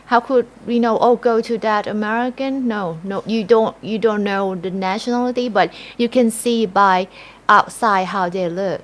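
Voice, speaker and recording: A Thai woman with a Thai accent co-hosting a casual livestream, explaining haltingly in simple second-language English. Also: medium pitch, a bit bright. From Thailand. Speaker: livestream co-host.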